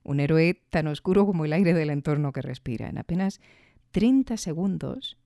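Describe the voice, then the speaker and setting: A woman's voice: low-pitched, expressive, moderate pace, vocal fry, warm tone, no room sound, clean studio sound. A woman hosting a podcast, giving reflective commentary with rhetorical questions and emphatic repetition. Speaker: podcast host. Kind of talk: reflective commentary on audio fiction.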